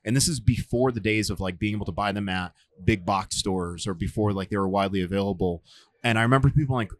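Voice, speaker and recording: A man in his forties, a survival instructor, telling stories in a relaxed interview, with lots of fillers and restarts. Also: lowish voice, fast, no room sound. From United States. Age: 40s. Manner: rambling, many fillers and restarts. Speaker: podcast guest, survival instructor. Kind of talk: casual storytelling interview.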